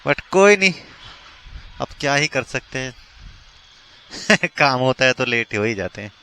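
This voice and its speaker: A man's voice: mid-range voice, unhurried, clean tone. A man with a North Indian accent chatting casually in a daily vlog, informal and repeating his points as he goes. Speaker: vlogger and bike taxi rider. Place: outdoors on city roads and worksite. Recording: steady outdoor traffic and vehicle noise.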